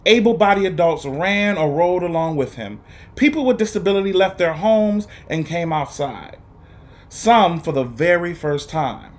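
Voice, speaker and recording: A man reading a children's story aloud to students, with deliberate, emphatic phrasing and an earnest, encouraging manner. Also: fairly high pitch, expressive. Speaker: guest reader for students.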